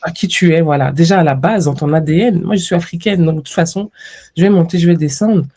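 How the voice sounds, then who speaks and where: A woman from France speaks as a podcast guest in a relaxed, reflective way. Her voice is warm and mellow rather than bright, and she livens up when she acts out what others said to her. The delivery is conversational and unscripted. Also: lowish voice, fast. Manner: reflective, with lively quoted asides. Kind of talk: conversational interview.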